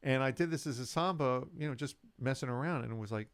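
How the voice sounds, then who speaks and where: An older man, a musician, telling his story in a relaxed, rambling way with many fillers and restarts. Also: medium pitch, quick pace, clear, resonant tone, dry recording. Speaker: musician guest. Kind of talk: casual storytelling interview.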